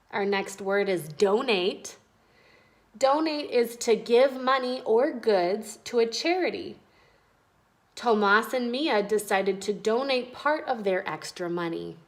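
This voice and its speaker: A woman reading aloud and explaining words as a teacher speaking to children, in a clear, explanatory way. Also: medium pitch. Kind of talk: children's read-aloud and vocabulary lesson.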